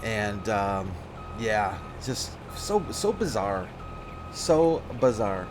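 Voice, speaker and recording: A man's voice: lowish voice, very animated, very slow, noisy background. A man giving casual let's-play commentary on a farming game, thinking aloud, rambling and often correcting himself mid-sentence.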